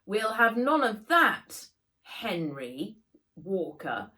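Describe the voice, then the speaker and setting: A woman's voice: mid-range voice, big pitch swings, drawn-out words, sharp tone, heavily acted. A woman voicing a stern, bossy nanny in a children's story, with a strict, scolding delivery. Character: stern, bossy, bushy-browed old-country nanny.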